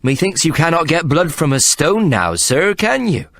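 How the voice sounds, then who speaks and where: A man's voice: fairly high pitch, big pitch swings, normal speed, fairly bright tone, voice pushed up. A man voicing a foolish, drunk young clerk who talks back boldly. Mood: cocky. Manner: cheeky, foolishly bold.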